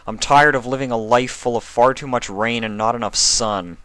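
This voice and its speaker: A man's voice: mid-range voice, normal speed, a bit bright, dry recording, pitched above the performer's own voice, lightly acted. A man voicing a hurt young male pony in a story reading, earnest and emphatic. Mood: weary.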